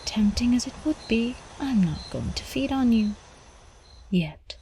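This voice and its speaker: A woman voicing an ancient, seductive vampire countess in an intimate ASMR roleplay, teasing and cooing with quiet menace, often slipping into whispers. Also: on the high side, lively intonation, measured pace, a little hiss or hum. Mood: hungry, amused.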